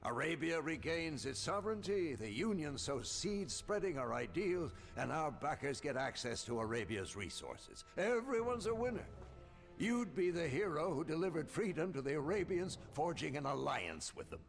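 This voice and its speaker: A scheming american political operator, commanding, creaky voice, lively pitch, game cutscene. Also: male voice, moderate pace, soft background music. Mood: persuasive, rousing. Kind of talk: dramatic game cutscene acting.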